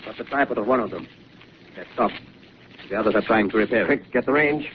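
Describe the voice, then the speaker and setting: A man's voice: on the low side, quick pace, lightly acted. A man acting an army artillery gunner calling out ranges and fire orders in barked, repeated commands, on a dull, hissy 1930s radio recording. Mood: brisk. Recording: dull 1930s radio broadcast, loud hiss.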